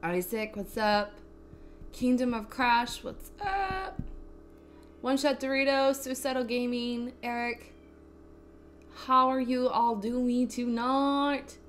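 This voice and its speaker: A woman with a raspy voice hosting a casual cooking livestream, bubbly and laughing as she greets viewers one by one. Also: fairly high pitch, slow pace. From Texas. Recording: faint music behind the voice. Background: faint background music.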